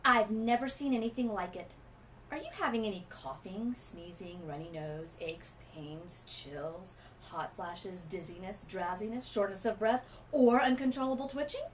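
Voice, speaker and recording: A woman voicing a bustling male family doctor in a children's storybook, puzzled but brisk, with deliberate delivery. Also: medium pitch, a little hiss or hum, lightly acted, rough recording. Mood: amazed.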